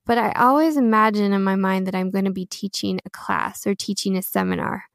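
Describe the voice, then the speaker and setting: A woman's voice: mid-range voice, fairly quick, no room sound, studio quality. A woman with an American accent chatting casually as an interview guest, telling stories and quoting herself as she goes. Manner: chatty, self-quoting storytelling with frequent "like" and "you know". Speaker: interview guest, Chinese teacher.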